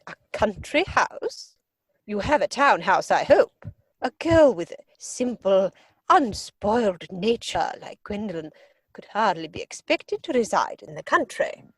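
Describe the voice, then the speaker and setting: A woman's voice: medium pitch, very animated, a little slow, no room sound. A woman playing an imperious, snobbish older upper-class lady in a theatrical comedy reading, pompous and disapproving. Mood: haughty, disapproving. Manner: pompous, disapproving, crisply emphatic. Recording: dry home video-call recording.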